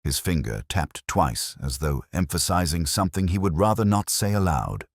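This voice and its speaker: A man playing a weary, erudite, melancholy police detective who narrates his story in a measured, literary first person. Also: sits low, very animated, no echo, clean studio sound, heavily acted. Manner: measured, brooding, wistful.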